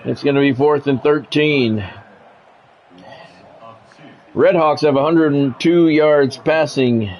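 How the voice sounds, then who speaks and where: An American man doing sports play-by-play for a video game stream, mixing game calls with relaxed, casual chat to viewers. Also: medium pitch. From United States. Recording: dull lo-fi audio with faint noise.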